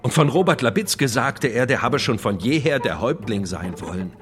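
A man acts a former gang leader telling his story in a radio play. His medium-pitched voice moves freely, brooding and sardonic, weary and scornful. Guitar music plays behind him. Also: expressive, moderate pace, natural voice. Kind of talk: dramatic radio play narration.

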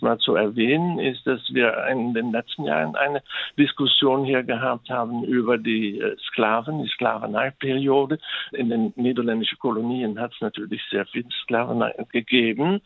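An older Dutch-speaking man, an interviewed professor, talking in German in a measured, thoughtful way with pauses, heard over a phone line. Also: mid-range voice, gravelly, no room sound. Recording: tinny, dry phone line.

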